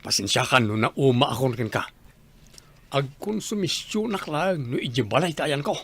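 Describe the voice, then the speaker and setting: An actor playing a callous, irritable husband in an Ilocano radio drama, scornful and dismissive, with an Ilocano accent. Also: male voice, mid-range voice, sing-song, normal speed, slightly rough.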